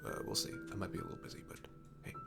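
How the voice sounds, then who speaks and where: A man's voice: racing, loud music behind the voice, lightly acted. A man playing a sweet, cute barista in an intimate ASMR roleplay, chatting in a rambling, self-deprecating and hesitant way. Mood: shy, sweet. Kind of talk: intimate ASMR roleplay, soft improvised-sounding chat.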